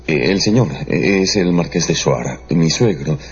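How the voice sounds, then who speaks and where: A man playing a stiff, embarrassed aristocratic count with a halting, formal delivery in a radio drama. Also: low voice, animated. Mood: awkward, formal. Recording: dull radio drama recording with music.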